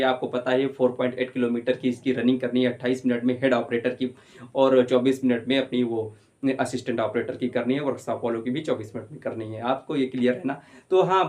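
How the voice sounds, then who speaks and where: A young man gives an informal update to viewers, addressing them like brothers and repeating his points reassuringly. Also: on the high side. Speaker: exam-update video host.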